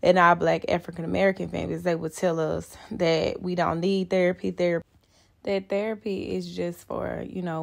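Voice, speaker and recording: A woman's voice: low-pitched, vocal fry, dry recording. A young woman vlogging casually from her parked car, chatting and rambling to her viewers. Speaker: fitness trainer and vlogger. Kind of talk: casual vlog talk to camera.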